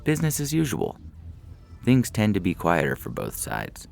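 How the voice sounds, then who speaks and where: A man voicing a calm, officious starship computer with a formal, unflappable manner in a sci-fi comedy audio story. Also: lowish voice, moderate pace, vocal fry, soft background music, voice pushed up, full character voice.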